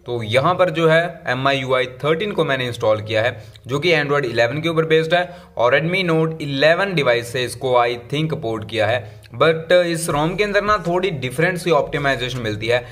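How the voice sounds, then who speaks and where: A man's voice: on the high side, animated, normal speed, fairly bright tone. A man giving a casual tech review walkthrough of a phone, chatty and mixing English terms into his Hindi.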